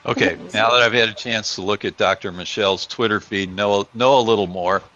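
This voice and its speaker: A man on a webcast panel, asking the guest playful questions in a deliberate way, heard through dull lo-fi audio. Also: fairly low pitch, bright, forceful tone. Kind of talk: casual conversation.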